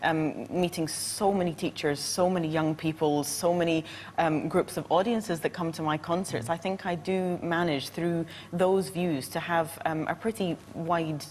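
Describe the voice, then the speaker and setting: Low-voiced woman musician, measured. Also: fairly even intonation, darkish tone, a little hiss or hum. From Scotland. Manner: measured, many filler ums. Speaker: violinist, guest.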